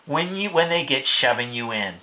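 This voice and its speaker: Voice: medium pitch, expressive, normal speed, sharp tone. A storybook narrator voicing a grumbling, put-upon male rat who complains at length. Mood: grumbling, indignant.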